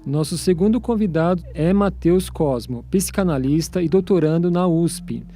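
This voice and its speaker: Man, Brazilian accent, high mellow voice, scripted radio narration, soft music bed. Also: moderate pace. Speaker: co-presenter of a radio documentary.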